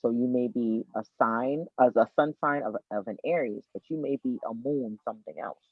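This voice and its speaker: A man from Miami, Florida, an astrologer guest on a podcast, explaining birth charts in an energetic, teacherly way and repeating his key points. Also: mid-range voice, brisk, no room sound. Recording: tinny dry phone-like line.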